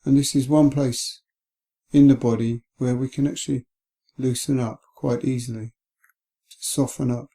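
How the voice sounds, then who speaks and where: A man guiding a meditation in a gentle, calm, unhurried way, leaving long pauses between phrases. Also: mid-range voice, creaky. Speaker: meditation guide. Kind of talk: guided body scan meditation.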